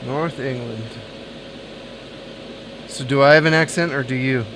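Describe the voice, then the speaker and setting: A man's voice: medium pitch, a little slow, background noise. A man streaming a console repair, chatting with viewers and thinking aloud while he solders. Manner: thinks aloud, chats with viewers between tasks. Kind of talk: casual live-stream chat while soldering.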